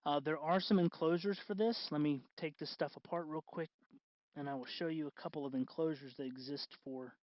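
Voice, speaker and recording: A man hosting a do-it-yourself tutorial in casual, unscripted talk, halting and correcting himself as he goes. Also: on the high side, fairly even intonation, no echo, dull audio. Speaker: host of a DIY costume electronics tutorial.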